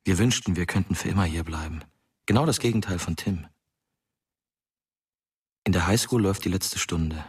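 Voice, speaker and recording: An actor playing a brooding young male narrator in a radio drama, speaking in a measured, intimate way. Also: low voice, slightly rough, distant mic. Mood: brooding, quiet.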